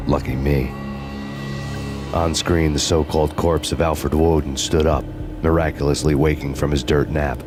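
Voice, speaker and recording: An actor playing a vengeful, world-weary American detective, narrating a noir game story in a deadpan, sardonic manner. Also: male voice, rumbling low. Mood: sardonic, brooding. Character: vengeful world-weary hard-boiled male detective, bitterly wry.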